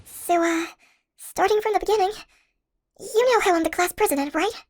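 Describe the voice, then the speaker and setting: An anxious, tiny college girl, played by a woman for an audio roleplay. Her voice sits extremely high and young. She talks in a chatty, expressive way, hesitating as she goes. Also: normal speed, slight background noise. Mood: uneasy recounting. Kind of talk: first-person audio roleplay, conversational acting.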